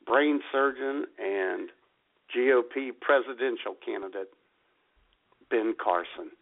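A man's voice: mid-range voice, slow, creaky. A man co-hosting a comedy radio show with sardonic, casual banter. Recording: tinny, narrow phone-like sound.

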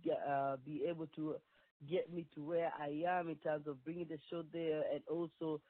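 A woman's voice: sits low, flat delivery, normal speed, no echo. A woman from Kenya with a Kenyan accent, a performing artist talking earnestly in a phone interview, rambling with many restarts. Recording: tinny dry phone line.

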